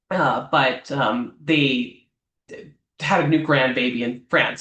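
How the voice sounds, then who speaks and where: A man's voice: medium pitch, fairly bright tone. Talkative male lawyer in an echoey room. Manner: talks off the cuff, ends many points with a questioning "right?"; frequent "um" fillers and restarts. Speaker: immigration lawyer. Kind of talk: explanatory advice talk to clients. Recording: roomy echo.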